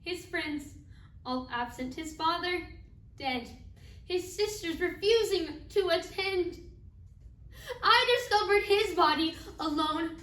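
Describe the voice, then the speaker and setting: A woman's voice: high voice, fairly even intonation, normal speed, a bit bright. A woman performing a stage monologue as a devout, grieving mother, speaking solemnly in emphatic short phrases. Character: grieving, devout, defiant mother of a dead son. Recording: roomy, distant mic, faint noise.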